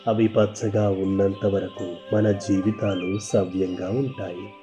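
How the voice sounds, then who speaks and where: A man voicing a calm, wise swami who teaches gently in an animated moral story. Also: low-pitched, slow pace, muffled recording, music in the background. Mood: serene.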